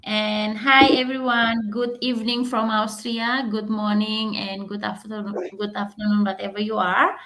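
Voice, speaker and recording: Bright woman from Indonesia. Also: mid-range voice, flat delivery, normal speed, hardly any pauses, poor audio. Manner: simple non-native English with fillers. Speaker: guest entrepreneur. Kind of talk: live online panel. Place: home in Austria.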